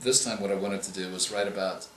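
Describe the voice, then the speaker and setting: A male author answering interview questions, explaining in a measured way and often restarting his phrases. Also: low-pitched, level tone, a little hiss or hum. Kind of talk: interview answers on writing craft. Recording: echoey room with faint ambience.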